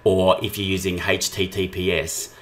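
A man giving an informal screen-share tutorial, explaining things step by step as a software trainer. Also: low-pitched, flat delivery, moderate pace, slight background noise.

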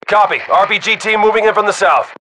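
A man playing a tense, tough special forces squadmate in a game, with clipped, forceful callouts. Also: high-pitched, fast, background noise, close to an everyday voice. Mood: focused. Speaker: soldier in squad. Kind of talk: military game combat callouts.

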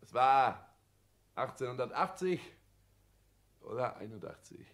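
An actor playing a pompous, calculating husband who boasts and lectures in a declamatory 1960s radio-play manner. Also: male voice, mid-range voice, lively intonation, a little slow, a bit bright. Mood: rapturous.

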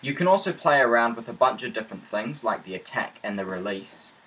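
A man presents a software tutorial as a voice-over. His voice sits at an average pitch. There is some noise behind him in the recording. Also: lively intonation, a little fast, rough recording. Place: indoor voice-over for screen recording.

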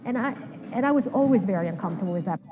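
A middle-aged woman from Peru giving interview answers in a restaurant, reminiscing warmly. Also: medium pitch, expressive, rapid-fire, slight background noise. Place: restaurant dining room.

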